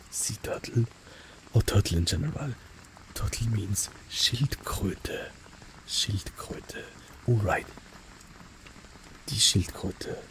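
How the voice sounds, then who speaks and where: A man teaching vocabulary in a relaxed lesson, often whispering and slowly repeating each word. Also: fairly low pitch, a little hiss or hum, low-quality recording. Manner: soft-spoken, often whispers words, repeats them slowly. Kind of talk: relaxed spoken language lesson with whispered repetitions. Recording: faint rain in the background.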